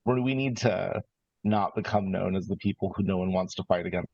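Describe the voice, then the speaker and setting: A man improvising a calculating brother from a ruthless 1950s family in a tabletop roleplay, speaking in measured, halting phrases. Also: deep voice, gravelly, a little mellow, dry recording. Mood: cautious, pragmatic. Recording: slightly dull audio with music. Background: background music.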